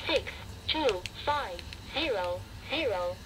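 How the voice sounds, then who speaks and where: A synthetic woman's voice reads digits one at a time as a radio keypad prompt. It is slightly high and extremely slow, coming from a small speaker with clear noise and clicks around it. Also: lively intonation. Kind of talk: automated digit readout. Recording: noisy handheld radio speaker.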